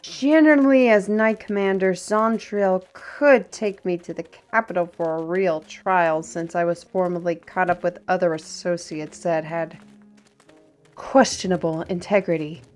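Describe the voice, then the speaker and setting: A woman's voice: lowish voice, darkish tone. A woman playing a talkative, worldly steward in a fantasy audio roleplay, with a wry, teasing and chatty manner. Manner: chatty, teasing, addresses listeners as lass and lad. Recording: music behind the voice. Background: music.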